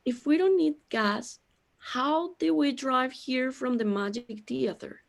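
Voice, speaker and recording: A woman's voice: high voice, takes it slowly, gravelly, exaggerated voice. A woman playing a bewildered, peevish immortal being who has lost her memory, in a questioning manner for a scripted audio drama. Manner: questioning, puzzled.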